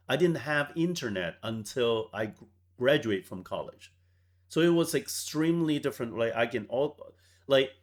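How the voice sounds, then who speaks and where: A man in his forties who grew up in Hong Kong, a software founder telling stories in a measured way as an interview guest. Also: lowish voice. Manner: measured, tags points with "right?". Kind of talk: reflective storytelling interview.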